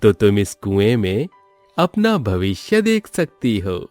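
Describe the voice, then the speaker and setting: A kindly, solemn magical tree from a children's storybook, acted out by a man. The words come very slowly and deliberately in a low male voice that swings in pitch. Soft music plays underneath. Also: sing-song. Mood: solemn, helpful. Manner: slow, drawn-out, deliberate delivery.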